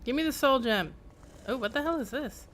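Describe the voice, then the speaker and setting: A woman's voice: high-pitched, lively intonation, moderate pace, a bit bright, slight background noise. A woman chatting casually as she livestreams a game. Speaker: game streamer. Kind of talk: casual livestream chat during gameplay.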